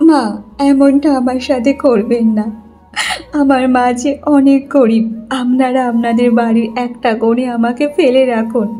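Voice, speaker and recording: Casting: woman as timid, pleading young daughter-in-law for a cartoon drama, high-pitched and submissive. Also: little pitch movement, moderate pace, warm tone, faint music behind, lightly acted. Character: meek, pleading young bride from poor family. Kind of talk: cartoon moral story acting.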